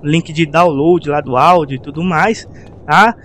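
Male Brazilian game host, high and lively voice, casual commentary over noisy traffic and sirens. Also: a little slow. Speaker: gaming video host. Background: game sounds, cars and sirens.

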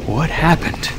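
An actor playing a dazed young male hero in a fantasy game, terse and halting. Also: noisy background. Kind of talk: fantasy video game acting.

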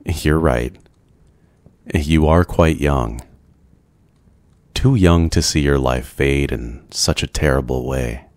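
A man voicing a brooding, lonely ancient vampire in an ASMR roleplay, gentle, courteous and soft-spoken, with hesitant pauses. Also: rumbling low, dry recording. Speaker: roleplay voice performer. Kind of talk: ASMR boyfriend roleplay, scripted.

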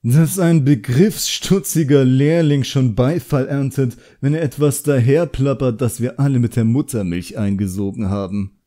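A man voicing an arrogant, cruel young wizard in an audiobook, speaking slowly and condescendingly and savouring each word. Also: mid-range voice, mellow, voice pushed up, natural voice. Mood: scornful. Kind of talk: audiobook character acting.

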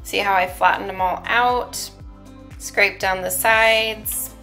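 A woman's voice: mid-range voice, fairly even intonation, takes it slowly, sharp tone. Young woman doing a food review at her table, talks you through it step by step, bit of music behind. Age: young adult. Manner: chatty, explains step by step. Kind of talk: casual product review. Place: dining room at home, indoors.

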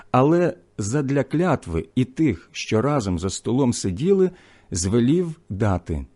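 A man reads scripture in a solemn, measured style. His mid-pitched voice is mellow and relaxed, with lively rises and falls across each phrase. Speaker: scripture reader. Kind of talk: solemn scripted Bible reading.

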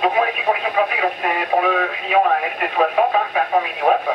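A man's voice: high-pitched, brisk. A man chatting casually as an amateur radio operator, heard through a two-way radio transmission. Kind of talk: casual radio contact chat. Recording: muffled, noisy radio link, distant sound.

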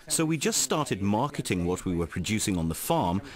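A man reading a translated voice-over in an even, measured way. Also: lowish voice. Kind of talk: dubbed voice-over.

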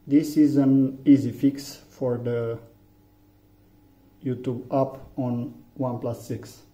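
A man from Romania with a Romanian accent, English not his first language, giving a halting phone tutorial with many fillers. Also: medium pitch, level tone, warm tone. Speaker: tech tutorial maker. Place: room indoors, phone on a table. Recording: echoey, dull lo-fi room.

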